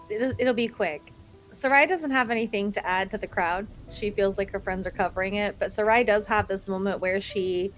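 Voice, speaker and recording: Woman, mid-range voice, thoughtful hesitant narration, tabletop player. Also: medium pitch. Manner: thoughtful, searching with fillers. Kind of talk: describing her character's thoughts.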